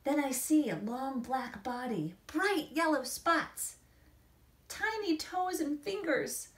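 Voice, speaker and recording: A woman in her forties, an author reading and explaining things to children in an expressive, emphatic storytelling manner. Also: high voice, takes it slowly. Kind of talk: expressive picture-book read-aloud and explanation to children. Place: small indoor room against a plain wall.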